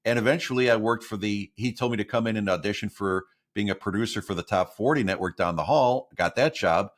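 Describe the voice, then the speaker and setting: A man's voice: on the low side, a little fast. A middle-aged man, a voiceover artist, talking earnestly about his work as an interview guest. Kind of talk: casual interview talk.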